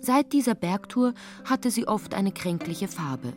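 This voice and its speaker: A woman's voice: medium pitch, lively intonation, a little slow, a little mellow, faint music behind. A woman narrating a literary radio drama in a plain, matter-of-fact way.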